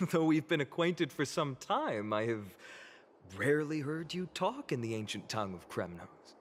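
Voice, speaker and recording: A man's voice: fairly high pitch, big pitch swings, a little slow. A man voicing a good-natured, bantering young hero in a video game. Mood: teasing. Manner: friendly, bantering. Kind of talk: scripted video game voice acting.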